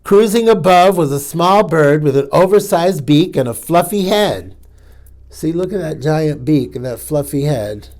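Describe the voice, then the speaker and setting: Animated male storytime reader, slow and clear. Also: a little high. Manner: animated, playful asides to young listeners. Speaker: storytime host. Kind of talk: children's storytime reading.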